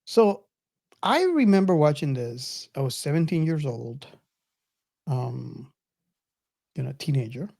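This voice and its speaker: A man's voice: a little high, sing-song, slow, gravelly, pauses often, a little mellow, no echo, studio quality. A middle-aged man co-hosting a podcast in casual film discussion, reflective and often searching for his words. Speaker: podcast co-host.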